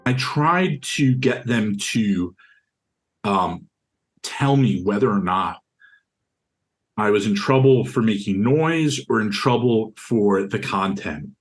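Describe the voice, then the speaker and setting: The deep, carrying voice of a young man you would hear in a documentary interview, earnestly and haltingly going back over what happened to him. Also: animated. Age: young adult. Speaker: interviewee, university student. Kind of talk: recounting a personal experience in an interview.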